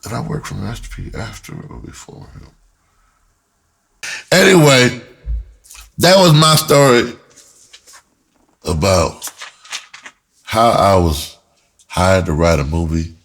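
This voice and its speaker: A man's voice: sits low, gravelly, slightly rough. A middle-aged American man telling a personal story casually and candidly, in a rambling, slangy way with explicit language. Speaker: storyteller, writer and music producer.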